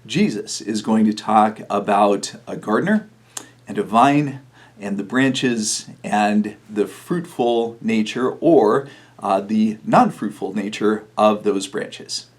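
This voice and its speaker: Middle-aged male pastor with a low voice, relaxed storytelling with fillers, for a video sermon. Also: slow. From New Mexico, USA.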